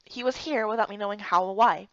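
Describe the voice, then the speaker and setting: A woman giving expressive first-person scripted narration of a fantasy story. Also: mid-range voice, fast talker, no room sound, dull audio. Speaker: narrator and voice actor for a visual novel playthrough.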